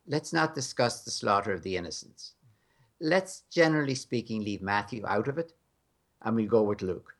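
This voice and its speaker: Gravelly-voiced frank American man. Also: fairly low pitch, animated, moderate pace. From United States. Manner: frank, emphatic, wry. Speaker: guest scholar. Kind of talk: conversational interview.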